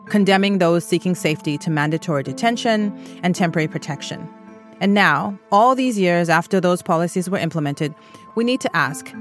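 A woman who grew up in East Africa, hosting and narrating a documentary podcast in a measured, earnest way. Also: fairly low pitch.

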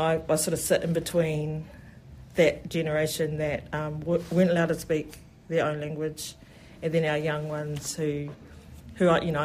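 New festival organiser, mid-pitched and enthusiastic, a rambling interview guest. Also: darkish tone, a little hiss or hum, rough recording. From New Zealand. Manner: enthusiastic, rambling, many "you know" fillers. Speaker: festival event specialist. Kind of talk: radio interview.

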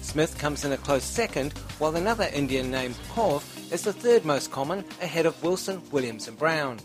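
A man narrating a news report in a brisk, steady delivery. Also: fairly high pitch. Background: music.

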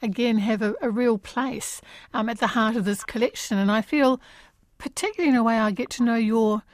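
A woman's voice: fairly high pitch, fairly even intonation, fairly quick, no echo, clean studio sound. A woman, hosting a radio arts interview and asking conversational questions.

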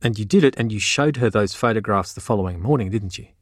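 A man's voice: lowish voice, quick pace, no room sound, studio quality, close to an everyday voice. An actor playing a pointed, pressing male barrister, putting leading questions in a re-enacted cross-examination. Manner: leading questions with clipped tags.

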